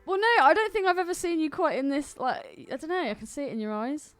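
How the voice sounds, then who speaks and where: A woman's voice: sits high, sing-song, fast, soft background music. A woman with a British accent co-hosting a casual comedic podcast, talking in a blunt, cheeky way. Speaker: podcast co-host.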